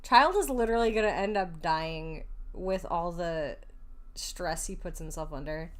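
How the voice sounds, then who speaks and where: A woman's voice: fairly low pitch, unhurried. A woman livestreamer giving casual reactions to a story game in an informal, playful, chatty way. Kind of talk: casual reaction commentary and chat.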